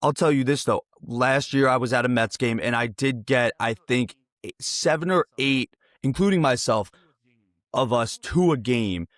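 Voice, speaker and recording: A man's voice: mid-range voice, normal speed, a bit bright, no echo. A man in his twenties hosting a casual sports interview, talking in a rambling, digressive way. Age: 20s.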